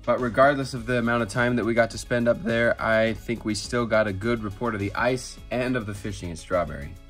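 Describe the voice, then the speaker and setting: An American man in his thirties narrating a casual fishing vlog outdoors on a frozen lake, filmed on a handheld phone and at times hushed to a murmur. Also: medium pitch, a little fast, faint music behind. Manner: easygoing, drops to a hushed murmur while landing a fish. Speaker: fishing vlogger. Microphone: handheld phone camera.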